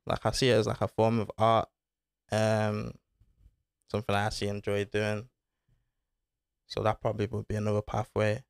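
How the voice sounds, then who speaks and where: A man's voice: fairly low pitch, monotone, moderate pace, gravelly, pauses often, clear, resonant tone, no room sound, clean studio sound. A young man, talking casually as a podcast guest, laid-back and full of slang. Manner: laid-back slang-filled talk, says bro and innit. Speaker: guest footballer. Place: small studio with foam panels. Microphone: desk mic on arm.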